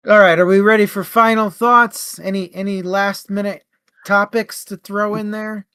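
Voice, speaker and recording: A man co-hosting a book club podcast, giving his opinion casually and haltingly, as if thinking aloud. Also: sits high, little pitch movement, sharp tone, clear, resonant tone, no echo, studio quality. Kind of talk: casual book review talk.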